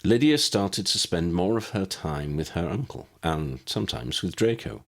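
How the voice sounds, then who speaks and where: A man reads a story aloud as an audiobook narrator. His voice sits low and has a husky, slightly rough texture. The delivery is scripted narration. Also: lively intonation, normal speed.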